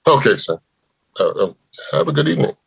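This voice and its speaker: An actor playing a sheepish, shady motorcycle cop with an awkward, halting delivery at a table read. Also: male voice, mid-range voice, big pitch swings, gravelly, slightly rough, exaggerated voice. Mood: sheepish, dazed.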